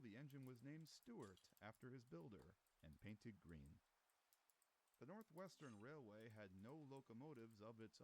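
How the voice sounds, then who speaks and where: A man's voice: mid-range voice, darkish tone, a little hiss or hum, poor audio. A man reading a scripted documentary-style narration as a narrator.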